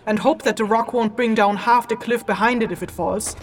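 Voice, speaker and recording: Woman as stern, high-voiced mage. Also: steady tone, fairly quick, natural voice. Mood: brisk, commanding. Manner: curt, commanding, sardonic. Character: stern, distrustful woman mage and expedition leader. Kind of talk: fantasy audio drama acting. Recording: music behind the voice.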